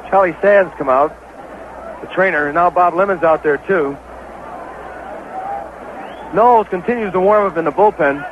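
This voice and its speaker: An American man calling baseball play-by-play on 1970s radio as an excitable, partisan announcer. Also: sits high, moderate pace, long pauses. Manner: excitable, partisan home-team caller. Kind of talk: 1970s live radio sports commentary. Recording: dull, noisy vintage radio broadcast.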